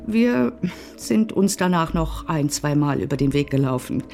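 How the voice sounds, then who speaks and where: A woman's voice: sits low, lively intonation, measured pace, creaky, mellow, faint music behind, heavily acted. An actress playing a dry, disillusioned divorced woman in a radio play, speaking with a sardonic edge. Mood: dry, detached. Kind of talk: radio drama acting.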